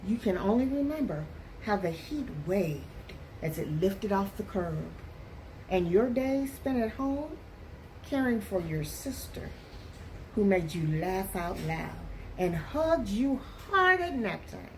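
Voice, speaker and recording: A woman reading a picture book aloud as a teacher does for young students, with deliberate, expressive phrasing. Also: medium pitch, slight background noise, rough recording. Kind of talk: expressive read-aloud storytelling for children.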